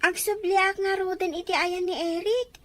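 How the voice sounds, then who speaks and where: A woman voices an earnest young child in an audio drama, a studious grandchild. The voice is extremely high and small, respectful in its delivery. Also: fairly even intonation, moderate pace, no echo. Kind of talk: Ilocano audio drama acting.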